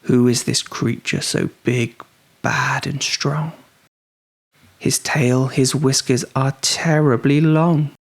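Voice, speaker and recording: A narrator reading a children's story aloud expressively, with dramatic, hushed suspense. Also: mid-range voice, fairly even intonation, slow pace, creaky.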